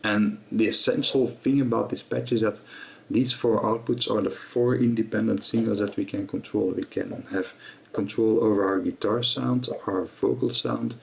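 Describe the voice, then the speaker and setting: A man presenting a guitar gear tutorial in a casual, explanatory way, with halting delivery and frequently repeated words. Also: fairly low pitch, fairly even intonation, creaky, darkish tone.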